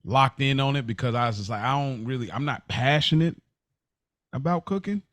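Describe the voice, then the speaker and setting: A man's voice: mid-range voice, fairly quick, fairly bright tone, dry recording. An American man co-hosting a podcast in casual chat, with a laid-back, slangy way of talking. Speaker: podcast co-host.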